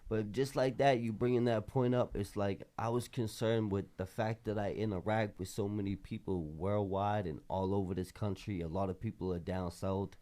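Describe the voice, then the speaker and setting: A man's voice: lowish voice, steady tone, normal speed, few pauses, dark tone. A man with a Boston accent, a podcast host talking casually in street slang with an emphatic, rambling flow. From Boston area, Massachusetts.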